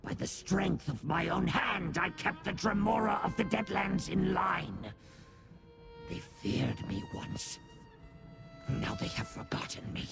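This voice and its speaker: An actor playing an embittered fallen demon warlord turned beastly servant in a video game, snarling and gravelly, speaking in a grandiose, halting, theatrical way. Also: male voice, sits high, huge pitch swings, heavily acted. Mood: bitter, indignant. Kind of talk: video game character acting. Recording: loud game music behind. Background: music.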